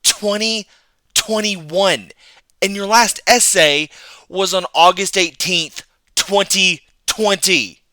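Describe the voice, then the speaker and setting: A man's voice: high voice, slow, pushed and bright, dry recording, exaggerated voice. A man playing an exasperated, nagging fan in a comic video skit, pestering for new uploads. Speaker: guest voice actor in a skit.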